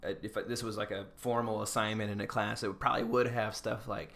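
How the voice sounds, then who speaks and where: A man's voice: lowish voice, little pitch movement, fast talker, gravelly, fairly bright tone. An American man co-hosting a podcast, giving casual feedback in an encouraging, informal manner. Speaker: podcast co-host.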